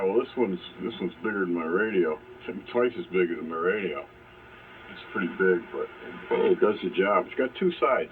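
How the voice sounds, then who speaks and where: A man chatting casually as an amateur radio operator over an AM shortwave transmission. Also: low-pitched. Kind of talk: casual technical chat over ham radio. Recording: phone-like AM radio with faint noise.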